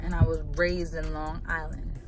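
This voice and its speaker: A woman's voice: deep voice, normal speed. Chatty New woman vlogging outdoors. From New York. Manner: chatty, excitable, lots of "like" fillers. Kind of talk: casual vlog chat. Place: outdoors on city streets. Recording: music under voice. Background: background music.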